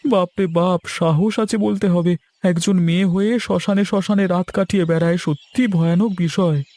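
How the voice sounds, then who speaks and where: A man's voice: high voice, steady tone, moderate pace, a little mellow, no room sound. A man voicing a timid, deferential and helpful village man in a cartoon, with hesitant, stammering speech. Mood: amazed. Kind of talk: cartoon voice acting.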